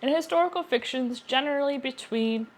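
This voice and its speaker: A woman's voice: high voice, a little fast. Woman chatting casually about books. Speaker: book reviewer, video host. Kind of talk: casual chatty book review to camera.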